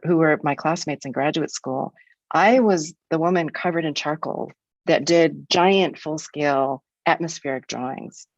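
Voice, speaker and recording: A woman's voice: sits low, no echo, dull audio. A woman in her sixties from the United States, a guest speaker in an academic webinar, talking in a frank, reflective way with some restarts.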